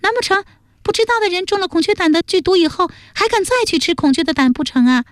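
A woman voicing an excitable, chattering young woman in an audiobook of a historical novel, eager and exclamatory. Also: very high-pitched, fast, no echo, studio quality, pitched above the performer's own voice. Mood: teasing. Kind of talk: audiobook character voice.

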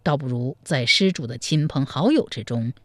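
A man storyteller voicing a sly, scheming male Buddhist monk who counsels in a slow, hushed manner. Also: medium pitch, animated, no echo, clean studio sound, voice pushed down, lightly acted. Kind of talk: storytelling, acted dialogue.

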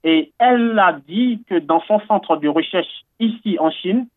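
A man from Benin with a high voice speaks earnestly in a radio interview, pausing often on fillers and repeating himself as he builds enthusiasm. The sound is thin and phone-like, dry with no room echo. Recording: tinny phone-like line, dry.

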